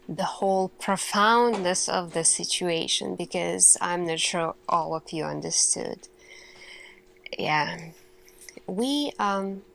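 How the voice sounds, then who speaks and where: A woman acting as an earnest, thoughtful lead scientist addressing her team in a soft-spoken ASMR roleplay, speaking deliberately with long pauses. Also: mid-range voice, gravelly, rough recording. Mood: earnest, instructive.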